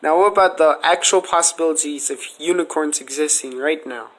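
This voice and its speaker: A man with a slightly high-pitched voice hosts a casual podcast conversation. He talks through speculative theories in an earnest, explanatory way, as in an informal question-and-answer session. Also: a little fast, noisy background. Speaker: podcast host.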